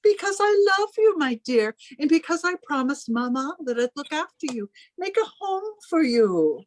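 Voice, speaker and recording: A woman's voice: sits high, sing-song. A woman playing a controlling, martyred, sternly doting elder sister in an old-time radio drama, with a patronising manner. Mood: soothing. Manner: deliberate, patronising, smothering.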